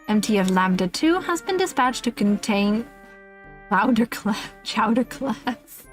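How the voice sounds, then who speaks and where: A woman streamer reading a comic list aloud on a livestream, giggly and often breaking off into casual asides. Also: fairly high pitch.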